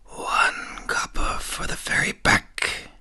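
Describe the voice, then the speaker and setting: A man voicing an eerie, conspiratorial old boatman in a hushed, breathy whisper for a fantasy audiobook. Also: dry recording, studio quality. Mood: flat, ominous. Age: older. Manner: slow, conspiratorial. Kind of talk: audiobook character voice.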